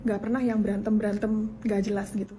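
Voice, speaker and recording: Woman chatting fast and casual, warm mellow voice, faint ambience behind. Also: mid-range voice, faint music behind. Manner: relaxed, colloquial, frequent fillers. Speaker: interviewee. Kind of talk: casual talk.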